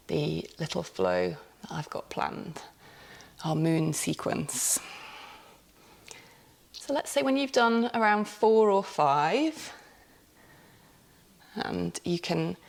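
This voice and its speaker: A woman leads a yoga class in a low, slightly gravelly voice. She gives calm, step-by-step cues, breaks into light laughs now and then, and corrects herself mid-sentence. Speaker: yoga teacher. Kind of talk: guided yoga class instruction.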